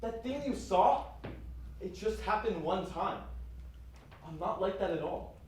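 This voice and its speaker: A man's voice: high voice, lively intonation, unhurried. A man acting a defensive, guilt-ridden husband in a stage play, recorded from afar in an echoey hall. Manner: defensive, protesting. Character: Jack, defensive, remorseful abusive husband. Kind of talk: student one-act stage play acting. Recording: very echoey hall, far mic, faint noise.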